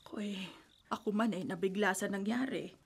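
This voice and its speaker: A woman's voice: mid-range voice, normal speed, slight background noise, natural voice. A woman playing a soothing village friend. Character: caring middle-aged woman friend, neighbor. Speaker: radio drama actor. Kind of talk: radio drama acting. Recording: music playing underneath.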